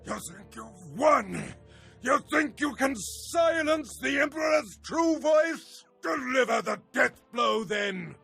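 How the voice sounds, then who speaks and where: An actor playing an arrogant, wrathful dark lord in a video game, declaiming in a pompous, theatrical, menacing way with a gravelly, forceful voice. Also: male voice, very high-pitched, animated, slow pace, heavily acted. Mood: enraged, desperate. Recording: music under the voice.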